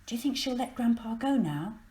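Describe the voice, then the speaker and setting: An anxious but outspoken little storybook girl, played by a woman. She is earnest and emphatic, her raised pitch swooping about in an echoey room. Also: animated, brisk, dark tone, natural voice. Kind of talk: children's storybook character reading.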